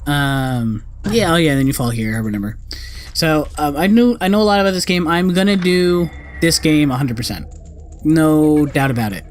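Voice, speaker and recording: A man's voice: on the high side, normal speed, slight background noise. Rambling young man doing game commentary. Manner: rambling, self-correcting. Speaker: gaming video host. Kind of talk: casual let's play commentary.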